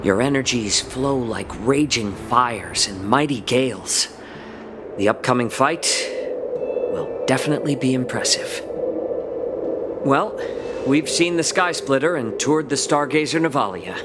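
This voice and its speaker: An actor playing a courteous, composed fox-folk healer in a game cutscene, speaking in a polite, measured, formal way. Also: male voice, medium pitch, expressive, heavy background noise, natural voice. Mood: calm, appraising, then light, polite. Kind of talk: scripted game cutscene acting. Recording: background music under game dialogue.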